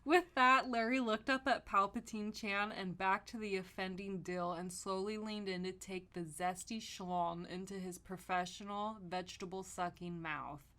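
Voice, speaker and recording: A woman podcast host reading comic fan fiction aloud and chatting casually, expressive and foul-mouthed. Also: medium pitch, normal speed, few pauses.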